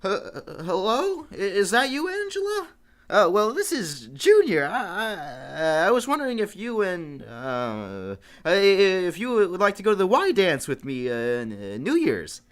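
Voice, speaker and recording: Casting: woman as naive teenage boy, worried stammerer, very animated intonation, slow delivery, radio comedy. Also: medium pitch. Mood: nervous. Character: earnest, nervous, naive teenage son. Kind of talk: live old-time radio comedy acting. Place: radio studio, live performance.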